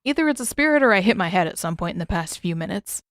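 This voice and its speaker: A woman's voice: medium pitch, animated, fast talker, no echo, lightly acted. Practical teenage boy sidekick, read by a woman, wry and matter-of-fact, dry close sound.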